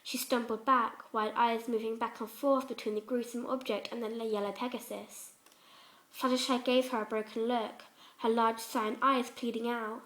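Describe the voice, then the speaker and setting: A woman's voice: fairly high pitch, level tone, moderate pace, a bit bright. A woman reading fan fiction aloud as a dramatic scripted story narration.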